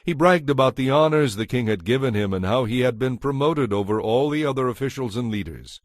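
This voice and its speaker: A man narrating a dramatized Bible in a measured, scripted storytelling style. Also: medium pitch, lively intonation, dry recording, clean studio sound.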